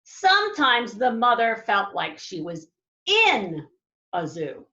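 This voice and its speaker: Slow, high-voiced woman reading a storybook. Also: roomy sound, muffled recording. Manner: animated, drawn-out repetition with dramatic emphasis and asides to listeners. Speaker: elementary school teacher reading aloud to pupils. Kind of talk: expressive storybook read-aloud for children.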